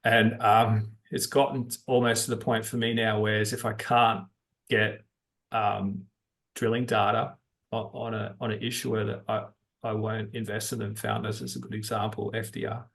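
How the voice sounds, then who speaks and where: A man giving unscripted explanations as an interview guest, talking haltingly as he thinks aloud. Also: lowish voice, flat delivery, vocal fry, a bit bright. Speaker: interview guest, geology-minded stock investor.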